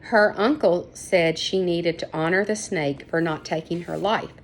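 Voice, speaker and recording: A woman voicing a friendly young boy adventurer in a storybook, steady and encouraging as he explains. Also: lowish voice, a little slow, a little hiss or hum. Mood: explaining. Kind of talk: storybook character voice.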